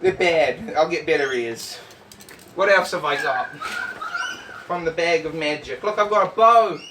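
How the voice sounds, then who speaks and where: A loud man hosting a casual vlog, boisterous and teasing as he banters to camera. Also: a little high, expressive, a little slow. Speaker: host. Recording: distant mic, faint background noise.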